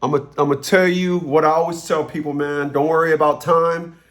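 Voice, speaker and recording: A man's voice: a little high, level tone, brisk, a bit bright. A man hosting a casual advice consultation, talking bluntly and colloquially and often repeating his words.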